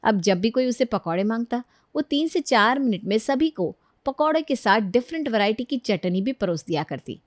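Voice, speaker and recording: A woman's voice: on the high side, expressive, normal speed, hardly any pauses, dry recording, studio quality. A woman narrating a scripted story expressively as a storyteller.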